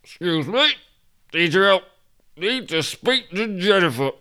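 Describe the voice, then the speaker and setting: A man's voice: on the high side, big pitch swings, slow, fairly bright tone, voice pushed up, exaggerated voice. A man playing a belligerent, demanding, foul-mouthed man in a comic story. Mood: aggressive. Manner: blustering, demanding, sweary. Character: belligerent, foul-mouthed man bossing his own legs.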